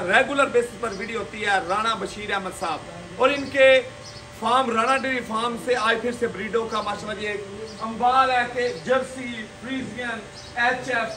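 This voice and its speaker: Punjabi-accented young male farm vlogger, high bright voice, salesman patter, outdoor shed on a handheld phone. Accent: Pakistani Punjabi. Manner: chatty salesman patter, repeating stock phrases. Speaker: vlogger showing dairy cattle. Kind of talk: lively livestock sales tour.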